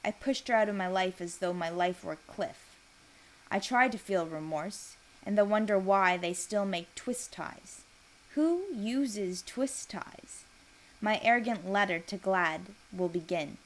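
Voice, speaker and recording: Female reader, mid-range pitch, for first-person literary narration; deliberate, expressive, with dramatic stresses. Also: rough recording.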